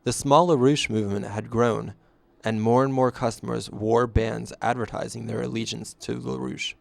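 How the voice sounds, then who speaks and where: A man's voice: medium pitch, fairly even intonation, normal speed, gravelly, no room sound. A man playing a former usher, reflectively narrating his story in scripted first person.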